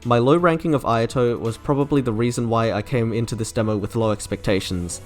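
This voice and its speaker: A man's voice: medium pitch, fairly even intonation, fairly quick, faint music behind. A man reading a scripted video review as its narrator. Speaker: video essay host ranking game trailers.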